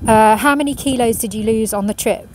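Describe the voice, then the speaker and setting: A middle-aged woman, a professional sailor, answering viewer questions in a quiet, thoughtful way with many ums, filmed outdoors on a marina pontoon. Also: fairly high pitch, fairly quick. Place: outdoors on a marina pontoon beside a racing yacht. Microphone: camera at medium distance, phone in hand. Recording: outdoor recording with clear background noise. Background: marina ambience and passing vehicles.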